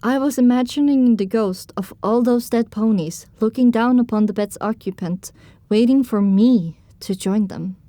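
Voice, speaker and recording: A woman's voice: on the high side, normal speed, dark tone, no room sound, lightly acted. A woman narrating an audio drama in the first person as a sensitive young pony filly, in a scripted storytelling style. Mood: anxious.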